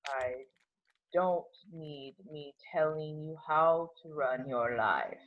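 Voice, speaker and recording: A man playing a subdued, laconic older man in an amateur table read, speaking in a halting, terse way. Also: a little high, animated, phone-quality sound.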